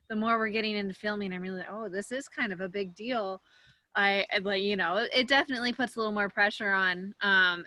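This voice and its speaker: A woman's voice: mid-range voice, pushed and bright, dry recording. A woman giving a casual, chatty and somewhat hesitant answer as an actress on a panel.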